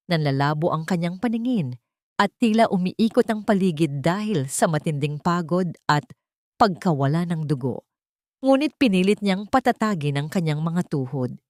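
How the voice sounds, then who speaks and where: A woman narrator reading a scripted dramatic audio story in a measured, theatrical storytelling way. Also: on the low side, darkish tone, no echo, studio quality.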